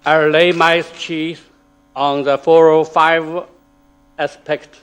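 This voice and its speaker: A man whose first language is Chinese delivers a formal conference talk at a very slow, halting pace, pausing on fillers. His voice sits a little high. A steady mains hum runs under the lecture-hall recording. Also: clean tone. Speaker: conference speaker. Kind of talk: formal academic presentation.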